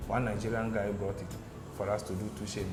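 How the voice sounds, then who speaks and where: A man's voice: lowish voice, brisk, background noise. Ghanaian man telling stories, echoey room. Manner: animated storyteller, repeats "okay?" and "do you understand?". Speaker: guest. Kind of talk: casual studio interview. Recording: echoey room with music behind.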